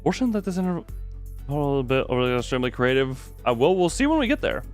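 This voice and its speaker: A man's voice: mid-range voice, sing-song, fast, soft background music. A man casually commentating on a live game stream in an irreverent, joking, sweary way.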